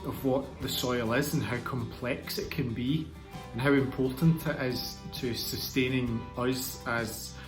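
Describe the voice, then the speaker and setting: Man, medium pitch, earnest measured interview talk, clear guitar music behind. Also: steady tone. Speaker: project team member.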